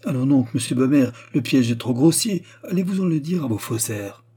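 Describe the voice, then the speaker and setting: An imperious, haughty queen of a storybook, acted out by a man, her voice lifted in pitch and a little husky. She speaks with cutting indignation and mocking rhetorical questions. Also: lively intonation, brisk, mellow. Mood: indignant, scornful.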